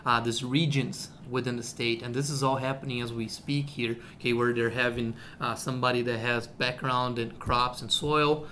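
A man's voice: mid-range voice, little pitch movement, normal speed, hardly any pauses, pushed and bright, a little hiss or hum. A man from Brazil, a university agronomy researcher explaining his work in a radio interview, with many fillers and "okay?" checks.

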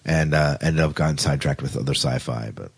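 A man's voice: a bass voice, fairly quick, husky. A man co-hosting a casual podcast, chatting through science fiction news. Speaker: podcast co-host.

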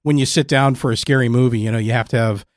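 A man's voice: mid-range voice, steady tone, fast talker, no room sound, clean studio sound. A man hosting a conversational interview, phrasing his questions and thoughts in a reflective way. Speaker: podcast interviewer, host.

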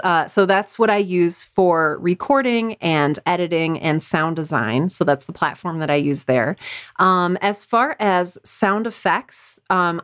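A woman's voice: fairly low pitch, no room sound, studio quality. A woman podcast guest talking candidly and casually, explaining her creative process and giving advice in a chatty way. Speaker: interview guest, audio drama podcaster. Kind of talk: casual interview talk, sharing advice.

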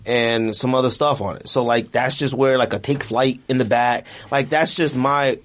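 A man's voice: mid-range voice, fairly even intonation, normal speed. A man co-hosting a sneaker podcast, chatting casually and giving opinions in informal slang.

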